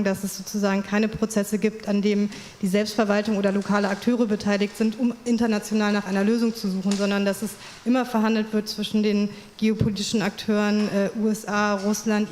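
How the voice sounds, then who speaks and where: A woman moderating a seminar discussion in a measured, analytical way. Her voice stays flat and level. The hall echoes, with a faint noise underneath. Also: mid-range voice.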